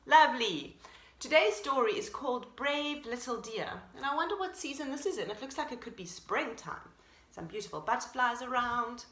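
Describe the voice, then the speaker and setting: A woman teacher reading a children's story expressively, pausing to explain things to young listeners. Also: on the high side. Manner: animated, explanatory. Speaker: pre-primary teacher, storyteller. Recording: roomy echo.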